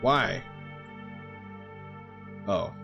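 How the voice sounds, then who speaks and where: A man's voice: fairly low pitch, lively intonation, drawn-out words, gravelly, bright, forceful tone, slightly rough. A man livestreaming in casual, sweary bro slang, chatting and composing a story aloud. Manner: informal, profane, bro slang. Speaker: live-streaming writer and gamer. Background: background music.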